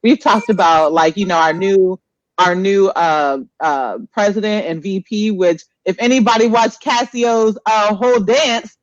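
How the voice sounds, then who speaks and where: A woman's voice: mid-range voice, a little slow, dry recording, dull audio. A woman with an American accent hosting a casual podcast in an informal, conversational way, often repeating herself. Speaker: podcast host. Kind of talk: casual live podcast chat.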